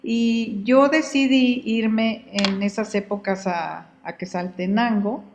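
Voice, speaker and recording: Unhurried older woman from Guatemala. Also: mid-range voice, slight background noise. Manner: unhurried, often repeats and restarts words. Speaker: guest. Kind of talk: relaxed conversational storytelling.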